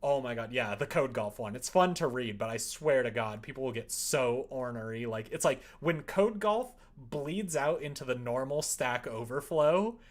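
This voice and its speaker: A man chatting casually on a livestream as he codes, rambling in an animated, opinionated way. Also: mid-range voice, normal speed, hardly any pauses. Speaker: live-streaming programmer. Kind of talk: casual livestream chat while coding.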